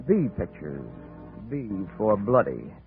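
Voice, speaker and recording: A cool, flippant American private investigator in a 1940s radio drama. He wisecracks in clipped, deadpan lines. The old recording is very thin and tinny, with music clearly behind him. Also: on the low side, slow pace, heavily acted. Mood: wry narration. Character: wry hard-boiled male insurance investigator, cool and flippant. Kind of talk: 1940s radio detective acting and first-person narration.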